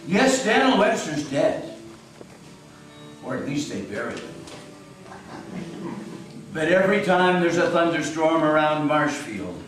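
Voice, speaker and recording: Folksy New England yarn-spinner male actor, unhurried and dramatic, higher-pitched, stage reading recorded from afar. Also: very animated, fairly bright tone, soft background music. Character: folksy New England storyteller recalling a local legend. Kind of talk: theatrical folk-tale narration. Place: meeting house stage. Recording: echoey hall, distant mic, faint noise.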